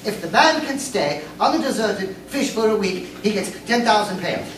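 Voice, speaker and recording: A woman's voice: medium pitch, animated, normal speed, lightly acted. A woman playing a naive, muddled, earnest boyish male castaway in a staged comic radio play. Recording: echoey room, distant mic, faint noise.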